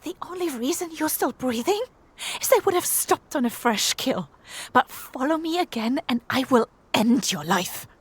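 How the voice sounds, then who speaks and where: A woman's voice: high voice, lively intonation, moderate pace, slight background noise. A woman playing a hard, threatening survivor in an audio drama, curt and urgent in manner. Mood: hissed menace. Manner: curt, menacing, hushed urgency. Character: hard, threatening female survivor guarding a boy.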